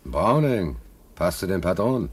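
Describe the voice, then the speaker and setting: An actor in a German radio play playing a bitter, anxious working-class ship stoker, plain-spoken and brooding, with a husky voice. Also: male voice, sits low, big pitch swings, a little slow, a little hiss or hum. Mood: conspiratorial, uneasy.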